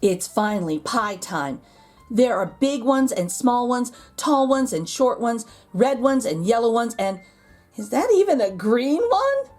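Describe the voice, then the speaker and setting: Woman storybook reader, high voice, lively swoops, deliberate emphasis, narration for children, soft music behind. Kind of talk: expressive scripted narration for children.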